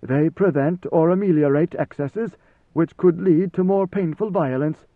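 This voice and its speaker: A man voicing an ancient, godlike ruler who speaks in a calm, knowing, lordly and deliberate way, as in an audiobook. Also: fairly high pitch, pitched above the performer's own voice. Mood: wry, imperious. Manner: measured, deliberate, formal. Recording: slightly roomy, phone-like narrow sound.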